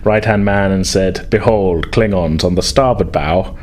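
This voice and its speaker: A man's voice: deep voice, a little slow, a little mellow. A man acting as game master, narrating casually and improvising in a rambling, self-correcting way. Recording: faint background noise.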